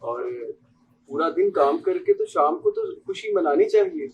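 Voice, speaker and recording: A man's voice: high-pitched, huge pitch swings, measured pace, gravelly, dull audio, exaggerated voice. A young man with a Pakistani accent acting a genial, fatalistic doctor, a family friend, in an amateur stage play. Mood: breezy, evasive. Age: young adult. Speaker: student stage actor.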